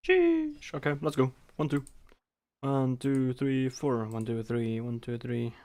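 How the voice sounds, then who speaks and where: A man's voice: medium pitch, lively intonation, takes it slowly, a little mellow. A man giving casual live gameplay commentary, muttering to himself and swearing. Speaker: game streamer.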